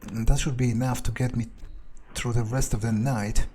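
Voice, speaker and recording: A man's voice: medium pitch, fairly even intonation, normal speed, mellow, a little hiss or hum, close to an everyday voice. A man playing a cynical, pragmatic vampire in a video game, thinking aloud in a musing, hesitant, casually profane way. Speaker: player doing an in-character playthrough. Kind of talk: improvised in-character thinking aloud over gameplay.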